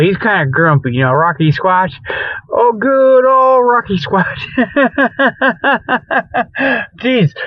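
A man's voice: high-pitched, dry recording. A man hosting a comedy radio show with a rambling, folksy, colloquial monologue, given to chuckling at his own jokes. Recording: dull lo-fi radio audio.

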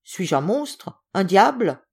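A woman voicing a gruff, hot-tempered but kindly old general in a storybook, blustering and imperious. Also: medium pitch, big pitch swings, normal speed, darkish tone, pitched above the performer's own voice. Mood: indignant. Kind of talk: acted storybook reading.

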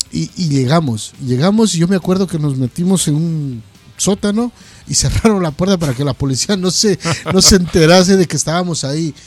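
Guy, host of a metal show, kinda high voice, stumbles and repeats words, bit of music behind. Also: a little mellow, no room sound. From Ecuador. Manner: hesitant, repeats words as they go. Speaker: host of a heavy metal radio programme. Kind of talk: casual interview chat.

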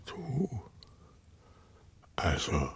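A cruel Roman governor racked by pain, in a radio drama. The voice is low and drags with long halts. It swings widely from menacing whisper to sharp tones. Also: male voice, huge pitch swings, slightly rough, exaggerated voice. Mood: quiet menace. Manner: halting, pained, menacing whisper. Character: ailing, cruel Roman procurator tormented by headache.

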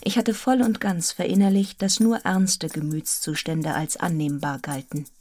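An actress playing a serious, restrained young governess in a radio drama, telling her story in a measured, articulate, introspective way. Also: lowish voice, steady tone, a little mellow, slight background noise, close to an everyday voice. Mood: reflective, grave. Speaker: actor in a radio play. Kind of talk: literary radio drama narration and dialogue.

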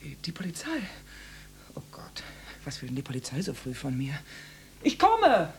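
An actress playing a flustered, flirtatious housewife in her mid-thirties in a radio play, hesitant and stammering, with breathy asides. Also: sits low, wildly swooping pitch, moderate pace, a little hiss or hum, heavily acted. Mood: flustered, anxious. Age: 30s.

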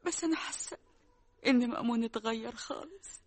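A woman's voice: a little high, fairly quick, noisy background, natural voice. An actress playing a worried young Egyptian wife confiding in her mother, in a radio drama. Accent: Egyptian Arabic. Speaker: daughter.

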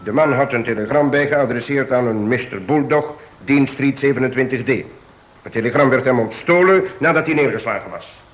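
An actor playing a courteous, firm chief inspector in a radio drama, speaking in a measured, formal way. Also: male voice, mid-range voice, natural voice. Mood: firm, questioning.

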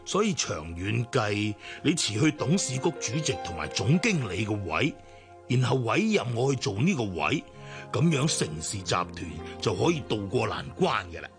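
A man's voice: mid-range voice, big pitch swings, normal speed, music in the background. An actor with a Hong Kong Cantonese accent playing a scheming, persuasive businessman in a radio drama. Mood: smooth, pressing. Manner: coaxing, urging. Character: scheming businessman, smooth and persuasive to his superior.

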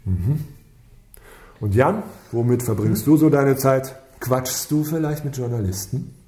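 An actor playing an impatient, sarcastic police inspector in a radio crime drama, brusque and commanding. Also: male voice, mid-range voice, a little slow, mellow, close to an everyday voice. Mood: brisk, impatient. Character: impatient, sarcastic male police inspector leading a murder case.

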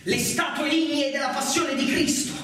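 A man giving scripted theatrical narration with a dramatic, deliberate delivery. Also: very high voice, steady tone, a bit bright. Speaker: actor and narrator. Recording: echoey, noisy, distant stage recording.

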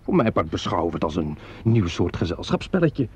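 On a dull vintage radio recording, a worried, sceptical theatre designer. His husky voice is mellow yet lively in pitch, his manner curt and protective. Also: a little fast, exaggerated voice. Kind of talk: vintage Dutch radio play acting.

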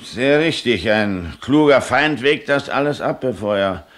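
An actor playing a shrewd man with a measured, deliberate way of speaking, in a radio play. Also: medium pitch, lively intonation, lightly acted. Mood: shrewd, wary. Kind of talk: 1970s German radio play acting.

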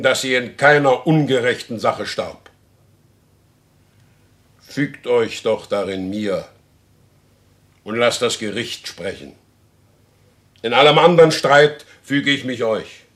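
An actor in a German radio play, playing a proud, stubborn horse dealer who demands justice in solemn, formal speech. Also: male voice, medium pitch, a bit bright.

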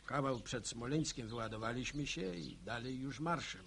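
An actor playing an earnest villager in a radio drama, recounting army days in a reflective, plain-spoken way. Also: male voice, mid-range voice, moderate pace, creaky, fairly bright tone, a little hiss or hum. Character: earnest Polish villager, war veteran recalling his army days.

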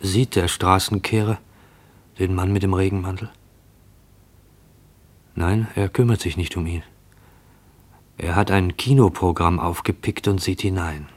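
Fearful shadower for radio drama: deep, rough-edged, tense and searching inner monologue. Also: male voice, fairly even intonation. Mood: watchful. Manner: tense, hushed, questioning, deliberate. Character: fearful man shadowing a mysterious stranger.

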